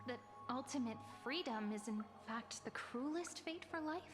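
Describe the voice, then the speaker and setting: A high, clear woman's voice from an actress plays a composed young companion in a game, asking calm, measured questions. There is a long reverb tail on her lines, and game music plays behind. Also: natural voice. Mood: probing. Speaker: game character. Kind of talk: video game voice acting.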